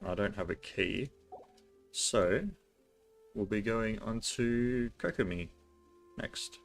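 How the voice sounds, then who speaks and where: A male game streamer with a fairly low voice chats informally over his play. He thinks aloud in an offhand way, with faint music behind him. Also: slow pace, creaky. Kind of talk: casual livestream commentary.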